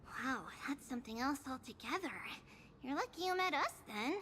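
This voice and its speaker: Squeaky, bossy little companion. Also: female voice, expressive, normal speed, slight background noise. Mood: upbeat, friendly. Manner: exclamatory, chiding, playful. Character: tiny, perky, bossy childlike companion who scolds. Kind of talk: scripted video game character acting. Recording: loud game music behind the voice. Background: game music.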